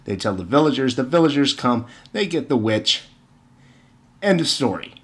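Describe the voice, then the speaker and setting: A man's voice: mid-range voice, big pitch swings, moderate pace. A man giving informal opinion commentary and retelling stories, with exasperated, sarcastic emphasis and rhetorical questions. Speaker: video commentator.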